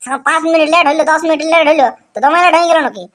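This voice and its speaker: Cartoon schoolboy, played by a woman: brash and mischievous, extremely high voice, rapid-fire Purulia Bengali accent, slangy boasting, slight background noise. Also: a bit bright. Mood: defiant. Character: cocky, mischievous village schoolboy, brash and carefree. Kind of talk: comic cartoon dialogue.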